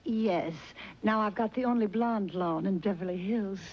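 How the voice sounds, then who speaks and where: A woman's voice: mid-range voice, moderate pace, close to an everyday voice. A woman voicing a vain, glamorous movie star in a cartoon. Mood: vain, airy. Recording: muffled old cartoon soundtrack, faint noise.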